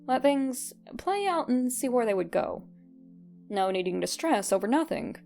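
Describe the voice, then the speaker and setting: A woman reading fan fiction aloud as an expressive, scripted story narration. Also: on the high side, moderate pace, vocal fry, soft background music.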